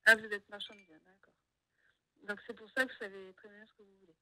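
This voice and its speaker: A woman's voice: medium pitch, little pitch movement, quick pace, sharp tone. A woman real estate agent on a phone call, hesitant and stammering, answering with caution.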